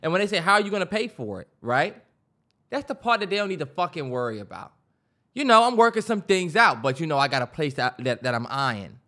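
A man's voice: fairly high pitch, sing-song, brisk, pushed and bright. An American man co-hosting a podcast, chatting casually with slangy, profane, emphatic phrasing. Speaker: podcast co-host. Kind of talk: casual chat.

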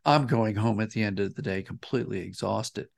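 A man's voice: lowish voice, a little fast, gravelly. A man answering as an interview guest, explaining thoughtfully and rephrasing as he goes. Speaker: interview guest, audio drama writer and director.

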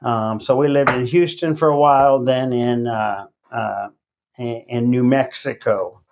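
A middle-aged man raised in Latin America, speaking as a podcast guest in a casual, halting way with many fillers and restarts. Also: medium pitch, fairly even intonation, noisy background. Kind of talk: casual interview.

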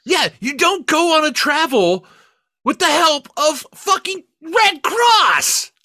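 Animated, bright-voiced man chatting on a podcast. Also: very high-pitched, takes it slowly, dry recording, studio quality. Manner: profane, exclamatory. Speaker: podcast co-host. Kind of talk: casual comedic podcast chat.

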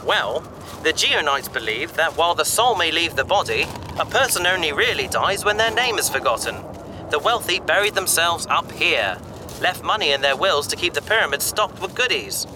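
A man's voice: on the high side, very animated, normal speed, background noise. A man playing a bookish, enthusiastic space adventurer who explains things chattily in a sci-fi audio drama. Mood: explaining, enthusiastic. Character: male bookish, eager space adventurer and explorer.

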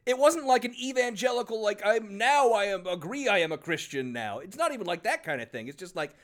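A man's voice: high-pitched, big pitch swings, fairly quick, fairly bright tone. A man co-hosting a casual podcast about a book, sarcastic and swearing freely, laughing often. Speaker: podcast co-host.

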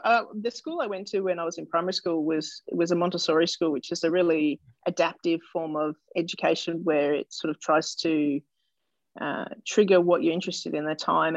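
Gravelly Australian woman, thoughtful pauses. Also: on the low side, dry recording, muffled recording. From Australia (Melbourne). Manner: thoughtful, hesitant, lots of fillers and restarts. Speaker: doctor and interview guest. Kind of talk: casual interview answers.

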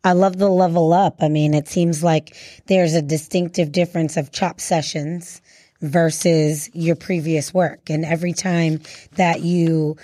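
A woman hosts an interview in a lowish, mellow voice. She speaks slowly and conversationally, and the sound is dry and close. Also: flat delivery, dry recording. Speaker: interviewer, host.

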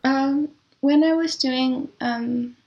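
A woman answering as an interview guest, reflective and explaining things in careful detail with pauses. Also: high-pitched, fairly even intonation, highs cut off. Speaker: interview guest, composer and audio drama producer.

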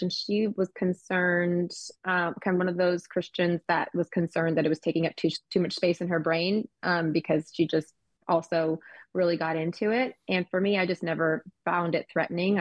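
A woman's voice: on the low side, no room sound, rough recording. A woman telling a casual personal story in a chatty way, often restarting mid-thought, recorded over an online call. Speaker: group member sharing her experience.

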